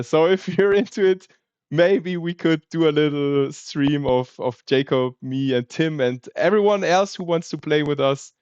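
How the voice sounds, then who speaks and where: A man's voice: fairly high pitch, a little mellow, clear, resonant tone, no echo, lo-fi sound. A man with a German accent chatting casually on a livestream, thinking aloud with plenty of fillers. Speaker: streamer.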